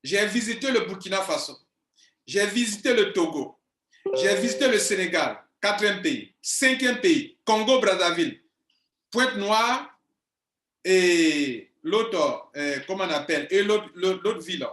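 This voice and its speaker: Man, 40s, business coach; high-pitched, insistent, repeats points, roomy echo. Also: expressive, unhurried, a bit bright. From Ivory Coast. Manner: insistent, emphatic, repeats himself. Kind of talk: video monologue to viewers. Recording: echoey room.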